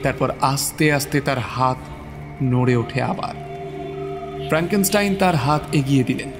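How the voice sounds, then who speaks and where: A man's voice: mid-range voice, normal speed, music in the background. A man narrating a horror story in a theatrical, suspenseful style.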